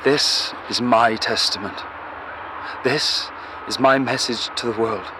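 An actor playing a desperate young zealot in an audio drama, speaking a solemn, halting, earnest last testament. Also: male voice, medium pitch, close to an everyday voice. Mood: solemn, faltering. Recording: noisy outdoor city traffic ambience.

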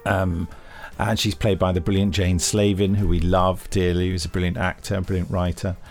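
A man's voice: low voice, flat delivery, moderate pace, a little mellow, faint music behind. British male podcast co-host, chatty. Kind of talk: casual news chat.

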